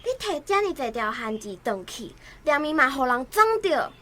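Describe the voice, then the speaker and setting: A woman's voice: high-pitched, sing-song, moderate pace, sharp tone, full character voice. A woman with a Taiwanese accent playing a worried, indignant little child in a radio drama.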